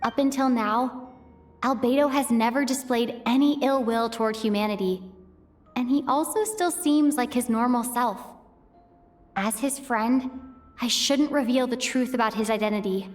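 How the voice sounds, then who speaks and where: A woman's voice: fairly high pitch, moderate pace, close to an everyday voice. A woman voicing an earnest, thoughtful young traveler in a fantasy video game, with a careful, reflective delivery. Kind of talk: dramatic video game voice acting. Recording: music behind the voice.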